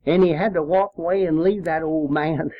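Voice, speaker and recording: A very old man with a rural American accent, a war veteran telling rambling stories from his service in a halting, plain-spoken, folksy way. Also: on the high side, fairly even intonation. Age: 80s or older. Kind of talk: rambling oral-history storytelling. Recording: muffled, roomy, lo-fi home recording.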